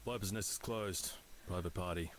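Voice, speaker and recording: A man playing a gruff, guarded nightclub enforcer in a video game, curt in his delivery. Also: low voice, normal speed, natural voice. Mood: dismissive. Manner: curt, skeptical.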